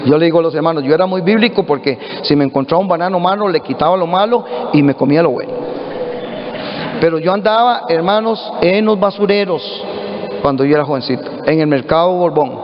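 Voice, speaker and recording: Costa Rican pastor, high voice, echoey hall. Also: male voice, a little fast. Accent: Costa Rican Spanish. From Costa Rica. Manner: earnest preaching, addresses listeners as brothers. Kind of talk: sermon. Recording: echoey hall, dull lo-fi audio.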